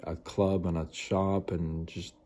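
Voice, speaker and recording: A man's voice: low voice, little pitch movement, takes it slowly, dark tone. A man in his forties, hosting a live stream, talking casually and rambling late at night with many fillers and repeats. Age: 40s.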